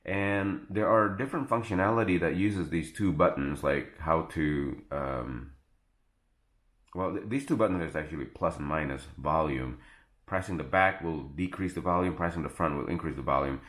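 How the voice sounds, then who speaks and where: A man with a low-pitched voice talks through a product review in a casual, off-the-cuff style. He explains step by step, often halting and correcting himself. The sound is distinctly roomy and echoey. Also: fairly bright tone. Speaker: tech gadget reviewer.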